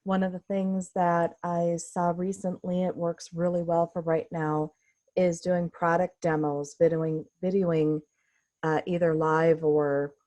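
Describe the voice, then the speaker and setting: A woman's voice: lowish voice, flat delivery, measured pace, mellow. A woman taking part in an online workshop, casually sharing ideas off the cuff. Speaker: workshop participant.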